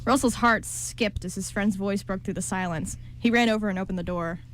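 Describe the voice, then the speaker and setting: A woman's voice: medium pitch, brisk. A woman reading scripted story narration for an amateur radio play. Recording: clearly noisy amateur recording.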